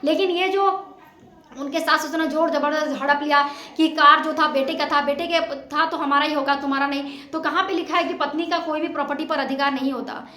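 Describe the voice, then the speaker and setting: High-pitched, emphatic woman, echoey room. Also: fairly even intonation, brisk, pushed and bright. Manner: emphatic, indignant, rhetorical questioning. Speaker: vlogger commenting on a family dispute. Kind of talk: informal opinion commentary to viewers. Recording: echoey untreated room sound.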